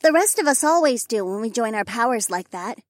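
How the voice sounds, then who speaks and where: A studious, curious young woman, as a male narrator plays her in an audiobook, asking thoughtful questions. Her voice is pushed very high and moves a lot. The sound is dry and close. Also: animated, a little fast, no echo.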